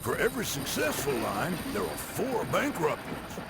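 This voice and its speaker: Gravelly, animated American old-timer railroad man. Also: a little high, moderate pace, very noisy. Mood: rousing, warning. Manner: folksy, scolding, colorful old-time slang. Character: crusty folksy old-timer railroad man, gruff and teasing. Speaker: game narrator. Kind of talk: theatrical scripted game cutscene narration.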